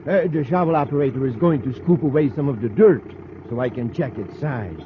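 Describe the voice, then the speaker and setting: An actor playing an eager, fussy meteorite professor, polite and hesitant, in 1950s radio drama style. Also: male voice, medium pitch, brisk, a little hiss or hum, lightly acted. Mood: politely explaining. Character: eager, excitable male professor, a meteorite expert. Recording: tinny lo-fi vintage radio recording.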